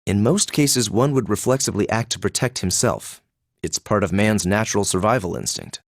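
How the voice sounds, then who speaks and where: An actor playing a cool, composed young noble student in a video game, speaking formally and with measured, aloof diction. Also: male voice, fairly low pitch, expressive, creaky, no echo, clean studio sound. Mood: serious, critical. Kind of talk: English video game voice acting.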